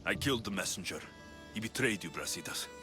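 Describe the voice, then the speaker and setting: An actor playing a blunt, commanding young warrior with a hot temper and a Greek accent in a video game. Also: male voice, deep voice, animated, fast, over music. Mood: calm, resolute. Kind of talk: dramatic video game acting.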